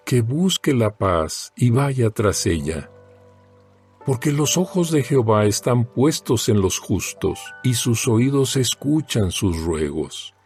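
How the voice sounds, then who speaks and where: A man reads scripture in a solemn, deliberate way, taking his time over each phrase. His pitch moves widely, giving the dramatized narration an animated contour. Faint music plays behind him. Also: breathy edge. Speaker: Bible audio narrator. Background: background music.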